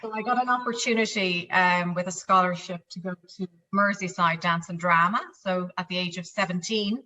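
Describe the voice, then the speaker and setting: Bright-voiced Irish woman, middle-aged. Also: fairly low pitch, steady tone, normal speed, low-quality recording. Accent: Irish, Dublin. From Ireland. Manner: warm, chatty, with many fillers and restarts. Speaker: guest, company chief executive. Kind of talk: casual interview answers.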